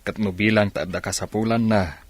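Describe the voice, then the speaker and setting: A man's voice: lowish voice, fairly even intonation, brisk, dry recording, natural voice. A man playing a devoted, reassuring father of a blind daughter in an audio drama. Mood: tender, concerned.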